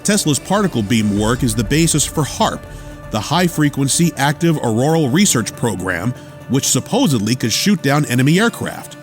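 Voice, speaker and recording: A man's voice: mid-range voice, very animated, moderate pace, faint music behind, natural voice. A man narrating a radio theater drama in a scripted storytelling style.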